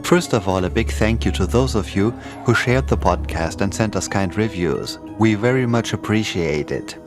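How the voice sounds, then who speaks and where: A man's voice: fairly low pitch, animated, moderate pace. A man hosting and introducing a podcast episode in a friendly, scripted announcing manner. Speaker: podcast producer and host. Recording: music bed under voice.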